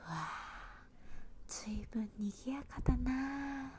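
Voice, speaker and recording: A woman voicing a tiny, brave, cheerful little boy in an eager, polite breathy whisper for an ASMR storybook, close to a handheld recorder. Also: high voice, lively intonation, slow pace, a little hiss or hum, pitched above the performer's own voice.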